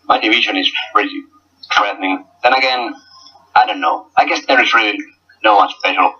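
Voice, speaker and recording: A young man from Finland with a Finnish accent, a guest coach talking in a casual online interview, halting with many fillers and restarts. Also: fairly low pitch, bright, forceful tone, slightly rough, distant mic, muffled recording.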